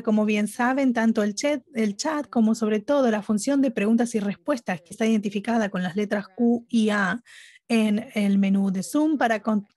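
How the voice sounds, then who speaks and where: A woman giving live interpretation at a webinar, speaking haltingly phrase by phrase. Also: mid-range voice, a little mellow, dry recording. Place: remote online conference audio channel.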